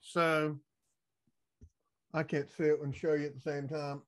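A man's voice: a little high, flat delivery, measured pace, fairly bright tone, no echo. An older man who hosts a show, chatting in a folksy, easygoing way during an interview. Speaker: podcast host. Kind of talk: casual interview hosting. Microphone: podcast mic on stand, video call.

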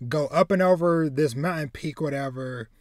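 A man in his thirties hosting a podcast in casual chat, animated and rambling, repeating words as he searches for phrasing. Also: fairly high pitch, moderate pace, no echo, clean studio sound. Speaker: podcast host. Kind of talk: casual chat, retelling a film plot. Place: home room with bookshelves, indoors.

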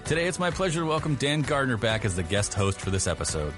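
A man's voice: mid-range voice, very animated, music in the background. A man, the host of a podcast, reading a polished scripted introduction. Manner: brisk, polished announcer delivery.

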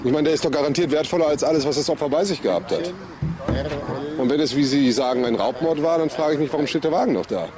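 An actor playing a sharp, sceptical police detective in charge, questioning and matter-of-fact, in a German crime radio drama. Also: male voice, on the high side, fast, a crowd in the background, close to an everyday voice. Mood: dry, probing. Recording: busy outdoor street background.

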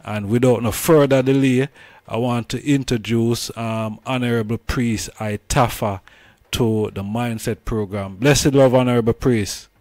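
A man's voice: medium pitch, steady tone, a little slow, darkish tone, dry recording. A man with a Jamaican accent hosting an interview in respectful, casual Rastafarian Patois. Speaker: host.

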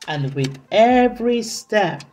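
A woman reading a children's story aloud in an expressive, dramatic, deliberate way. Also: mid-range voice. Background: soft music.

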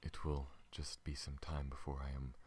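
Man voicing a very deep, weary alien pilot. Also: flat delivery, slightly rough. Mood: halting, reassuring. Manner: slow, halting, earnest. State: weak and recovering from wounds. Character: gentle, honorable, battle-worn tall male alien pilot. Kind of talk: ASMR audio roleplay.

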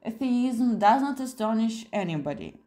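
A woman's voice: fairly high pitch, sing-song, normal speed, dark tone, pitched above the performer's own voice. A woman voicing a learned, self-assured male editor with a polite, lecturing manner in an audiobook.

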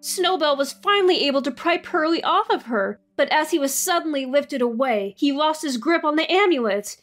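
A woman narrating an audio drama in a measured storytelling manner. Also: sits high, expressive, fairly bright tone. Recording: faint music behind.

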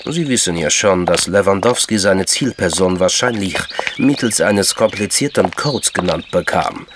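Low-voiced, measured intelligence man. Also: animated, noisy background. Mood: calm, explaining. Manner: deliberate, formal, explanatory. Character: measured Georgian intelligence man, calmly knowing. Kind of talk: German crime radio drama dialogue.

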